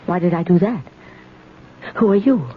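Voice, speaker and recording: A woman's voice: lowish voice, moderate pace, natural voice. A woman playing an anxious, indignant older lady living alone in a 1970s radio drama, hesitant and plaintive, often stammering. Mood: bewildered. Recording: muffled, noisy old radio broadcast.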